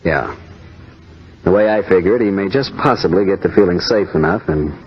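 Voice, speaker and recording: An actor playing a matter-of-fact homicide detective in a 1950s radio drama, talking in a clipped, deliberate way. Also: male voice, sits low, slight background noise, lightly acted. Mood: businesslike. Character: matter-of-fact, hard-boiled homicide police sergeant. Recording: dull old radio recording.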